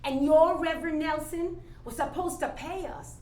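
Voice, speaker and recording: A woman's voice: high-pitched, moderate pace, darkish tone, lightly acted. An actress playing a jaded, skeptical working woman with a blunt, folksy, challenging manner in a staged play reading. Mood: scornful. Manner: folksy, challenging, pointed rhetorical questions. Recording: echoey room, faint background noise.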